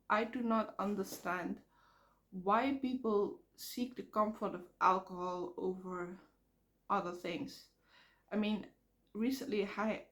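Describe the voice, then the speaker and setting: A woman vlogging outdoors by a road, talking frankly in a halting way while she comes down with a cold and her voice cracks. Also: medium pitch. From Netherlands. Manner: frank, halting, repeats words. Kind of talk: casual rambling vlog. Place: outdoors near traffic.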